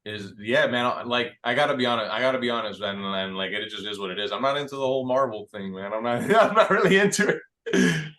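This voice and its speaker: A man's voice: medium pitch, lively intonation, fast, fairly bright tone. A man from Miami, a guest on a talk show, speaking in a laid-back, slangy way. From Miami, USA. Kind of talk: casual talk show chat.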